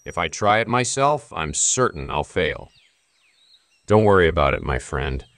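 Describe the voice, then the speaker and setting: Cartoon hero, a lower, lively, boastful young voice, dry sound. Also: male voice, measured pace, no echo, full character voice. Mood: confident.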